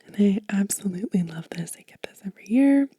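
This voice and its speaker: Woman, breathy whisper, very dark soft tone, dry close-mic sound, gentle unhurried ASMR host. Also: mid-range voice, expressive, no echo. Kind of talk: soft-spoken whispered ASMR show and tell. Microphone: close microphone.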